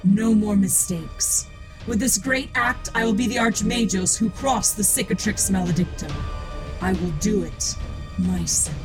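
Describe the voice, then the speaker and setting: A woman's voice: medium pitch, a little slow, over music, natural voice, rough recording. A woman playing a cold, grandiose cyborg priestess in an audio drama, speaking in a solemn, declamatory manner. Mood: grandiose resolve.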